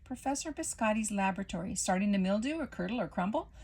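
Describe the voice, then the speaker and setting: A woman's voice: medium pitch, animated, fast, a little mellow, breathy edge. A woman voicing a bumbling female inventor professor in a storybook, talking in a chirpy sales patter. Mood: salesy. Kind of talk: storybook character voice.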